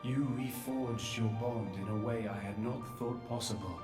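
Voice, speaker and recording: An actor playing a solemn, remorseful, godlike old creator in a scripted video game drama, speaking in measured, philosophical phrases. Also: male voice, mid-range voice, steady tone, close to an everyday voice. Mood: grave. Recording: roomy long reverb, distant, faint music.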